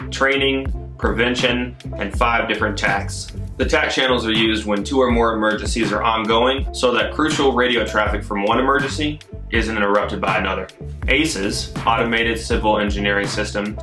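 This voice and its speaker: Low-voiced man narrating in an echoey room. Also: bright, forceful tone. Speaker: military fire dispatcher. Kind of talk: scripted explainer narration. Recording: echoey room, music behind.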